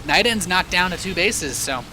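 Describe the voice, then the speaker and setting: A man giving live, animated and joking play-by-play commentary on a video game match as an esports caster. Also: high voice, normal speed, noisy background.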